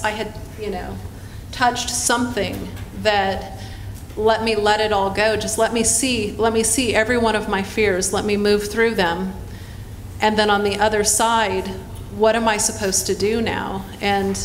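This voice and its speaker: A woman on a conference panel, speaking in a halting, searching, earnest way about her own experience. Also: mid-range voice. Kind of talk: personal reflection on a panel. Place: hotel conference room. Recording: roomy hall with faint noise.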